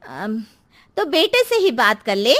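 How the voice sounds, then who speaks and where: A frank neighbour woman, put on by a female storyteller with a high voice. She is caring and urgent, giving advice in a family storybook tale. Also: very animated, takes it slowly, a bit bright, heavily acted. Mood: concerned. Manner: urging, caring.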